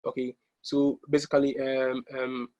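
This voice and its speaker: A young man from Ghana presenting an online exam-prep workshop over slides, pausing often with ums and restarting his sentences. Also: medium pitch, monotone, darkish tone, highs cut off. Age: young adult. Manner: hesitant, many ums and restarts.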